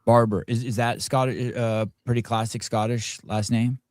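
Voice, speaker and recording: A man's voice: medium pitch, little pitch movement, normal speed, a little mellow, no echo, clean studio sound. A middle-aged man hosting a live interview, rambling and self-deprecating with many restarts. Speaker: podcast host.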